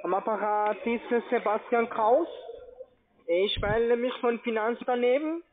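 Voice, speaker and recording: Very high foreign-accented man, tinny phone. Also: a little slow. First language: not German. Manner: formal, repetitive. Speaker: call center trading agent. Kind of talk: scripted cold sales call. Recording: tinny, band-limited phone line.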